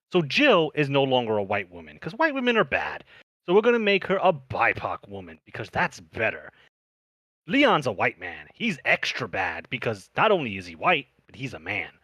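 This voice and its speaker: A man's voice: mid-range voice, bright, forceful tone, slightly rough, dry recording. A man co-hosting a podcast, ranting in an opinionated, sarcastic and emphatic way. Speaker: podcast host. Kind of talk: opinionated panel discussion.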